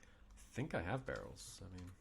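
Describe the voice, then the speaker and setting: A man in his thirties talks through a strategy game on stream, half muttering to himself as he works out problems. His voice sits low with a gravelly fry. A faint hiss sits under the desk mic. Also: very animated, normal speed. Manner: thinking aloud, puzzled muttering to himself. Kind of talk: casual gameplay commentary. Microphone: desk mic with webcam.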